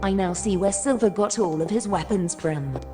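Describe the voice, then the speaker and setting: A woman's voice: medium pitch, normal speed, dark tone, natural voice. A woman playing a bossy, flirtatious gun-toting survivor in an audio drama, brash and teasing. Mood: amazed, pleased. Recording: music under the voice.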